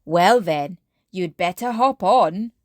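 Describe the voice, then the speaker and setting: A woman's voice: fairly low pitch, lively intonation, slow pace, no echo, clean studio sound. A woman voicing a jovial, indulgent daddy pig in a hearty, emphatic way for a children's storybook. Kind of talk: children's storybook read-aloud.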